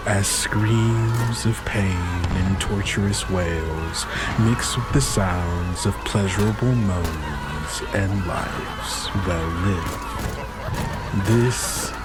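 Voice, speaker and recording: A man with a low voice speaks as game master and host of a story podcast. His delivery is that of a narrator addressing listeners. Music plays clearly behind him. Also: very slow. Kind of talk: actual-play narration and host outro. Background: music under the voice.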